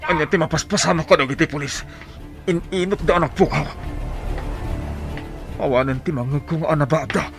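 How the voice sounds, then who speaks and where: Creaky, panicked man on the run. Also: a little high, moderate pace, pauses often, fairly bright tone, soft background music. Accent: Filipino. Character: panicked man fleeing gunfire from police. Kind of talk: Filipino radio drama acting.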